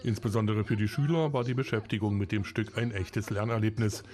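A man reading a local news report in a measured, scripted narration style. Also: fairly low pitch, fairly even intonation, a little mellow.

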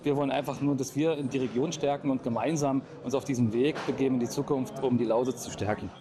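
A man speaks for a project in an unscripted interview. His delivery is quick and his pitch is medium. Crowd chatter is audible behind him. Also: steady tone. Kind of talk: spontaneous interview statement.

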